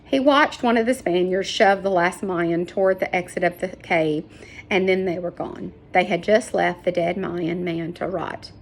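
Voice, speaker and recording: A woman reading a children's novel aloud as an expressive storyteller. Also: on the low side, normal speed, a little hiss or hum. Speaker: storybook reader. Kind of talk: scripted narration, children's book read aloud.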